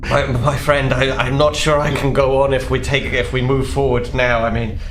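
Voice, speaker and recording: A man voicing a tense, weary British adventurer in a tabletop game, halting and stammering with understated politeness. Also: medium pitch, flat delivery, close to an everyday voice. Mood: weary, strained. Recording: roomy, echoey, faint background noise.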